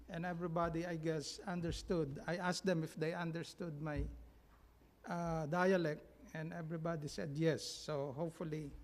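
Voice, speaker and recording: Halting Filipino-accented man, high voice. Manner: halting, many fillers. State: jet-lagged and sluggish. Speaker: church member giving mission report. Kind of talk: church announcement talk. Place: church sanctuary.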